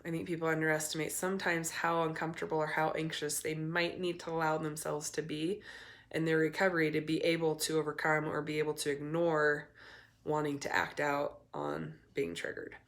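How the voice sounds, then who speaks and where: Hoarse, low-voiced woman. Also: level tone, a little fast. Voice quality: raspy. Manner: earnest, candid, with frequent ums and restarts. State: hoarse from a cold. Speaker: eating disorder recovery coach. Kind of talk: casual talk to camera.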